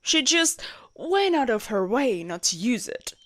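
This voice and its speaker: Woman acting an animated, jealous lady knight. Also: on the high side, measured pace, no room sound. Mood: indignant, bitter. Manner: expressive, blunt, sweary, teasing. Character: jealous, protective female royal knight and childhood friend. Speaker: voice actor in a romantic audio roleplay. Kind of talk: intimate scripted audio roleplay acting.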